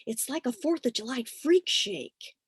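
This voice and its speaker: Woman voicing a brash, excitable teenage boy. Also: high voice, unhurried, slightly rough, no room sound, studio quality, pitched above the performer's own voice, exaggerated voice. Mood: excited. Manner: teenage slang, teasing. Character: excitable fifteen-year-old boy, brash and playful. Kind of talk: audiobook-style character reading.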